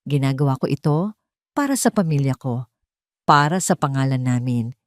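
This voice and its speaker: A woman's voice: very deep voice, animated, moderate pace, no echo, clean studio sound, pitched below the performer's own voice. A woman voicing a scheming, self-justifying female villain in an audio story, in a resolute inner monologue. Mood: cold, defensive.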